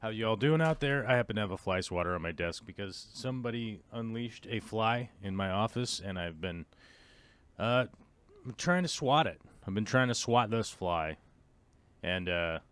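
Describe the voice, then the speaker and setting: A man hosting a live stream from his home office, hoarse and losing his voice, chatting casually and chuckling often as he rambles. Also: lowish voice, expressive, a little slow, long pauses, a bit bright.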